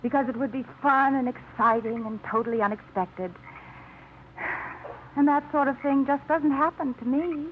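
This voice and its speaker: An actress playing a lively single small-town woman in a 1950s radio drama, with a bright, spirited, teasing manner. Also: high-pitched, normal speed, lightly acted. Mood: restless, playful. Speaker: lead actress. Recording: muffled old radio broadcast, faint hiss.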